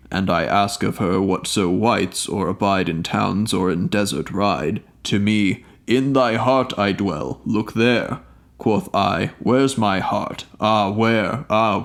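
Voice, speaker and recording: A man's voice: fairly low pitch, gravelly, voice pushed down. A man voicing a lovesick male ifrit spirit, speaking earnestly and formally in a storybook reading. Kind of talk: storybook reading of an old tale.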